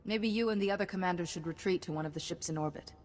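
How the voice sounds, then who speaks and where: A woman playing a brisk, confident Jedi hero. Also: on the low side, darkish tone, in a large hall, natural voice. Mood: resolute. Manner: brisk, self-assured. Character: composed female Jedi hero. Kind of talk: video game cutscene acting.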